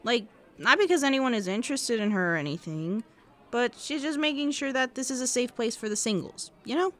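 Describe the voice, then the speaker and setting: A woman's voice: fairly high pitch, lively intonation, brisk, lightly acted. A woman playing an awkward, guarded seventeen-year-old girl with a hesitant, self-conscious manner in a fiction podcast. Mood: uneasy. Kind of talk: audio fiction podcast acting.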